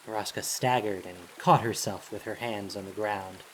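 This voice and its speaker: A man's voice: lowish voice, fairly even intonation, normal speed, slight background noise, poor audio. A man reading scripted fantasy narration in a dramatic storytelling style, as the narrator of an audio drama.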